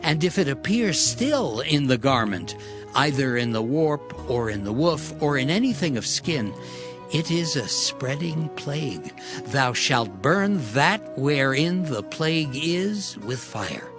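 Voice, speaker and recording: A man narrating scripture in a solemn, measured and formal way, as in a dramatized audio Bible. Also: fairly high pitch, very animated, music in the background.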